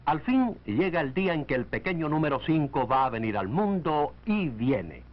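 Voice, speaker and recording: A man reading scripted narration for a 1940s educational film, in a measured, didactic way. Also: fairly high pitch, big pitch swings. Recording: dull lo-fi 1940s film soundtrack.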